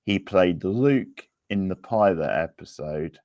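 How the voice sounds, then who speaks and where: A man's voice: deep voice, expressive, slow, darkish tone, no room sound. A man hosting a casual quiz, chatting and answering participants as he reads out questions. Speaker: quiz host.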